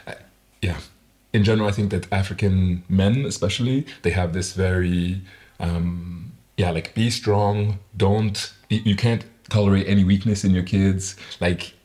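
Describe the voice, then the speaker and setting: A man with a low voice holds a casual interview conversation. He talks in a reflective, halting way, often circling back to rephrase what he has started. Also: fairly even intonation, a little mellow. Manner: reflective, halting, self-correcting.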